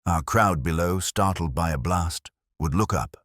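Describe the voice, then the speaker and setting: A man voicing a mild, shrewd police inspector in a mystery story, with deliberate, theatrical phrasing. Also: low-pitched, fairly bright tone, dry recording, clean studio sound. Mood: calmly accusing. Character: mild, shrewd male police inspector, calmly exposing culprits. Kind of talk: dramatised mystery story dialogue.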